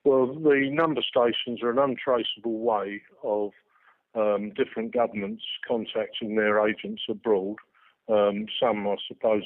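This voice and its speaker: A man giving a spontaneous interview explanation, hesitant and halting with many filled pauses, over a tinny phone-like line. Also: lowish voice. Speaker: radio enthusiast interviewee.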